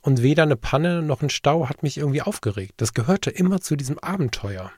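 A man's voice: a little high, lively intonation, brisk, a little mellow, slightly rough, no echo, studio quality. A man hosting a podcast, telling stories in a reflective, earnest way during a casual conversation. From Germany, Ruhr area region. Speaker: podcast host.

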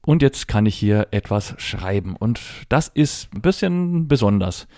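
Middle-aged man, relaxed dark timbre, very dry sound, conversational tutorial, methodical spelling and explaining. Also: fairly low pitch, a little slow, dry recording. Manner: spells out letters and dot numbers step by step. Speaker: presenter of a tech tutorial for Braille users. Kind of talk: explanatory product demonstration, conversational.

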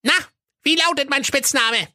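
Wicked gnome doctor for comic audio fairy tale: small, bossy, scolding, high and sharp, dry sound. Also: normal speed, no echo. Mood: gloating. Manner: pushy, scolding.

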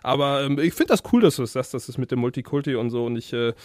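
A man's voice: mid-range voice, lively intonation, fast, darkish tone, no room sound, studio quality. A man hosting a podcast, putting casual questions to a guest in a hesitant, roundabout way. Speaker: podcast interviewer, host. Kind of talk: casual conversational interviewing.

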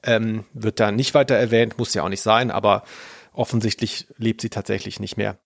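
A man's voice: lowish voice, level tone, normal speed, vocal fry. A man hosting a podcast, talking casually in a hesitant, self-deprecating way and at times reading aloud. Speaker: podcast host.

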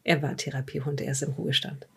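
Woman playing a calm, probing police psychologist. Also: extremely low pitch, husky, voice pushed down, exaggerated voice. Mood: calm, polite. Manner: polite, measured, tentative. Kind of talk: improvised audio drama dialogue.

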